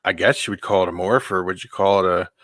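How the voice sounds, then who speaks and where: A man with a deep voice chatting casually as a guest, hesitant and full of ums. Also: steady tone, quick pace, a bit bright, no echo. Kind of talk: casual chat.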